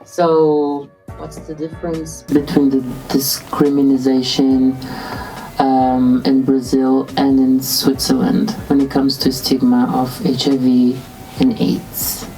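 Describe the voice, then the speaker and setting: A woman's voice: extremely low pitch, monotone, slow pace, warm tone, recorded from a distance, soft background music, rough recording. A woman interviewing a guest, asking long questions with many hesitations. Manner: hesitant, many fillers and restarts.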